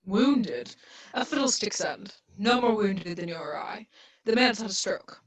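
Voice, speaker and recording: A woman playing a stern, blunt male country doctor in a radio play, with a brisk, admonishing manner. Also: medium pitch, lively intonation, husky. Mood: brusque.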